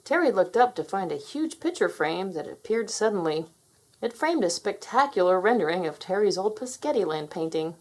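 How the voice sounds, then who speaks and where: A woman's voice: mid-range voice, big pitch swings, moderate pace, darkish tone. A woman narrating a children's story, reading aloud expressively.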